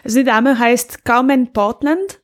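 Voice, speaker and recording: A woman's voice: fairly high pitch, measured pace. A woman co-hosting a podcast, chatting casually as she reviews. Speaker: podcast co-host. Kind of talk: casual chat, reviewing audio dramas.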